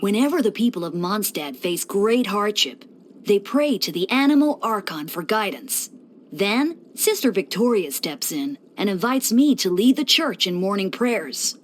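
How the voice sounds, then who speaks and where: A woman's voice: mid-range voice, sing-song, unhurried, slight background noise. A woman voicing a playful young male church deacon in a video game, breezy and teasing with theatrical mock solemnity. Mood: casual, self-assured. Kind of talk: scripted video game character voice lines.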